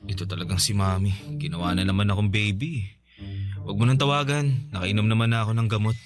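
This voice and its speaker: An actor playing a gentle twenty-year-old man who is sick and weak, talking apologetically in a radio drama. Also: fairly low pitch, fairly even intonation, fast, over music, close to an everyday voice. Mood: tired, reassuring. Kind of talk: Tagalog radio drama acting.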